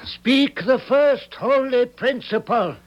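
An actor playing a stern, zealous high priest and father who intones the rules of his tribe like ritual. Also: slow, highs cut off. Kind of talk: radio drama acting.

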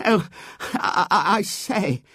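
A man's voice: fairly high pitch, very animated, normal speed, fairly bright tone, dry recording, clean studio sound, voice pushed up, full character voice. A man voicing a miserable, lovesick young man in a comic story, stammering out plaintive, self-dramatising protests. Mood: panicked apology.

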